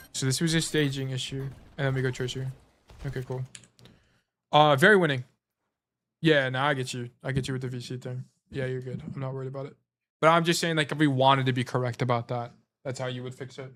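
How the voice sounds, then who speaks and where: A man's voice: medium pitch, fairly quick, fairly bright tone. A man coaching as a stream host, reviewing gameplay in a casual, rambling way while losing his voice. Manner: casual, rambling, filler-heavy explanations. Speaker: game coach.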